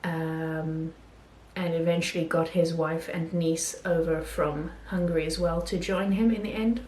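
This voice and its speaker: A woman talking casually about films she has watched, pausing often with ums and restarting her sentences. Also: low voice, fairly even intonation, darkish tone, reverberant, poor audio. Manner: hesitant, many ums and restarts. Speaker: film vlogger. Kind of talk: casual film review talk.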